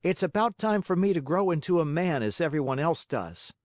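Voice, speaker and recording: High, eager wooden puppet boy. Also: big pitch swings, unhurried, no room sound, clean studio sound, full character voice. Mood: disgusted, impatient. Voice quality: high-pitched, childlike voice. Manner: pleading, emphatic, exclaiming. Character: wooden marionette boy, eager, whiny, dramatic. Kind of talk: audiobook character acting.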